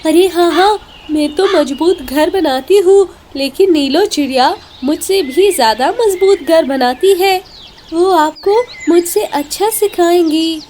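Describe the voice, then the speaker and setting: A woman's voice: extremely high pitch, measured pace, dark tone, slight background noise. A woman voicing a small, earnest female bird in a cartoon story, with an exclamatory manner. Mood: helpful. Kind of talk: Hindi cartoon story dialogue.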